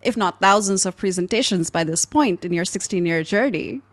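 A young woman hosting an interview podcast over a video call, warmly enthusiastic. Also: medium pitch, normal speed, no echo. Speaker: podcast host, speaking coach. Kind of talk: interview host. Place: home room, indoors. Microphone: studio mic on boom arm, video call.

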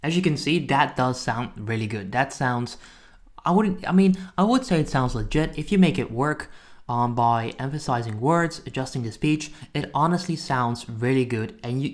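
Male tutorial host, informal and chatty, walking viewers through software in a medium-pitched voice. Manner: informal, addresses viewers as guys. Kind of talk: casual screen-recording walkthrough.

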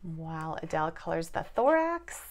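A woman's voice: deep voice, very animated, normal speed. A woman hosting a casual children's lesson as a preschool teacher, talking encouragingly and with exclamations over a tabletop game. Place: indoors at a tabletop.

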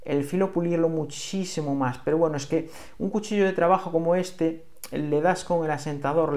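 A man's voice: sits high, a little mellow. A man with an accent from Spain, explaining things in a casual hands-on review, conversational and a little hesitant. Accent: Spanish from Spain. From Galicia, Spain. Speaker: bushcraft knife reviewer.

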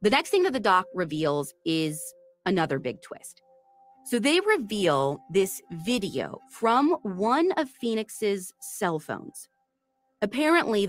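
A woman hosting a true crime podcast, retelling a case in a chatty, storytelling way. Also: medium pitch. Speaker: podcast host.

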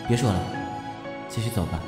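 An actor playing a playful yet wounded, proud young man in an expressive audio drama style. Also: lowish voice, a little fast, over loud music, close to an everyday voice. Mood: bitter, hurt. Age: young adult. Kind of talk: Chinese audio drama acting.

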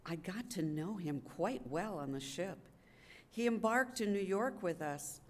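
A woman's voice: on the low side, lively intonation, unhurried, a little mellow. An older woman reads a wartime letter aloud as a readers theater performer at a podium microphone. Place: public gallery event room, indoors. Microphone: gooseneck podium microphone. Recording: clearly noisy live room recording.

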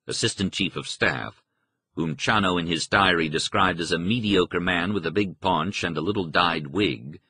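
A man narrating a history book from a script as an audiobook reader, in a measured, formal and articulate manner. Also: low voice, pushed and bright, breathy edge, no echo.

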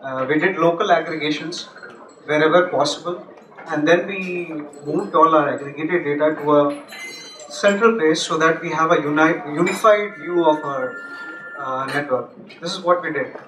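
A young man giving a technical talk at a meetup, halting with many restarts and fillers, on a handheld mic in a large office room. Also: a little high, bright, forceful tone. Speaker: conference speaker. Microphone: handheld mic, far camera. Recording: echoey room from far off, noisy.

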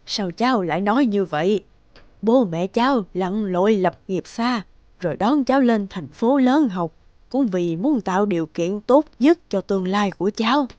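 A woman playing a gentle old grandmother in an animated drama, patiently consoling and admonishing. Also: mid-range voice, normal speed, no room sound, lo-fi sound, lightly acted. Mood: gently reproving.

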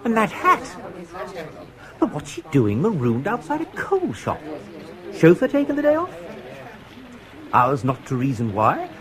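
An actor playing an unflappable, talkative young upper-class English gentleman in radio comedy, with a pompous, flowery, urbane manner. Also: fairly high pitch, huge pitch swings, measured pace, pauses often, a crowd in the background. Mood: admiring. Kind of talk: 1980s BBC radio comedy drama.

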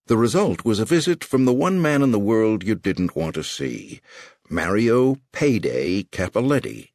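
A man's voice: on the low side, a little mellow, no room sound, studio quality. A man reading a crime novel as scripted audiobook narration, in a dramatic storytelling manner.

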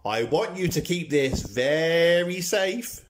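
A man's voice: on the high side, expressive, slow. A man playing a saucy, motherly shopkeeper dame in a radio pantomime, cheeky and theatrical.

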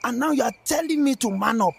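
A man with a Northern Nigerian accent, playing a boastful, touchy village elder who talks in an animated, exclamatory way in a radio drama. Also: extremely high pitch, fast talker, vocal fry, slightly rough, heavily acted. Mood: defensive. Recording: busy market crowd behind. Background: market crowd chatter.

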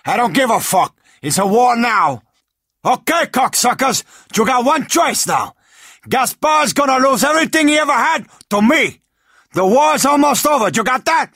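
An actor playing a hot-tempered, vengeful gangster drug lord in a video game, with a Cuban accent and a raspy voice, throwing profane, threatening taunts. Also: male voice, very high voice, animated, moderate pace, pushed and bright, dry recording, clean studio sound, heavily acted. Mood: defiant, menacing.

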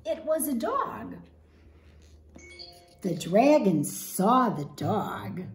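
A woman's voice: medium pitch, sing-song, extremely slow, soft background music. A woman in her fifties reading a story aloud to children, with dramatic emphasis and questions to the listeners. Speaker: teacher reading a picture book to children. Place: large open living room at home, indoors. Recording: roomy home echo.

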